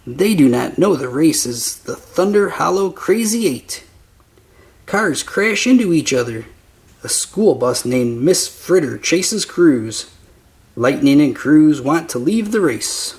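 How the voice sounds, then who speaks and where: A man reading a children's picture book aloud as narrator, with simple, deliberate phrasing for young listeners. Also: fairly high pitch, lively intonation, darkish tone. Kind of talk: slow scripted children's story narration.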